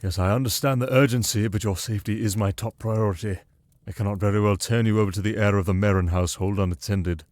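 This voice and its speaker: A man's voice: fairly low pitch, fairly even intonation, fast talker, a little mellow, dry recording, studio quality, close to an everyday voice. A man playing a protective, exasperated retainer to a noble lady in a fantasy audio drama, formal and dutiful in speech. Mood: reluctant, exasperated.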